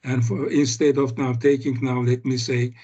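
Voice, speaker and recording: An older man giving an academic seminar on a video call, speaking haltingly with many fillers and restarts. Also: medium pitch, level tone, warm tone. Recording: muffled video call audio.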